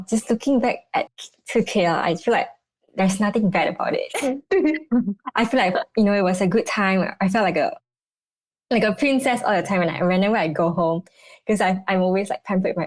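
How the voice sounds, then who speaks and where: A young woman, a dietitian answering interview questions, bubbly and halting, with many restarts. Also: medium pitch, no room sound. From Malaysia. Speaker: guest, clinical dietitian.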